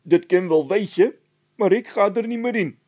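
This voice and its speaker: Man doing high, loud, stubborn frightened workman. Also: normal speed, lo-fi sound. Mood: defiant. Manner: insistent, complaining.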